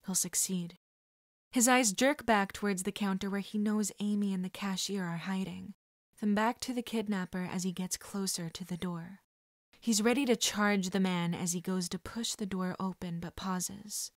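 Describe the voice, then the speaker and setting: A woman's voice: medium pitch, fairly even intonation, normal speed, no room sound. Woman narrating a dramatic story, very dry sound. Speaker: fan fiction audiobook reader.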